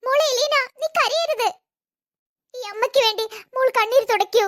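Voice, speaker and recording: A woman's voice: very high-pitched, normal speed, fairly bright tone. A woman voicing a fussing, melodramatic middle-aged mother. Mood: doting. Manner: melodramatic, doting.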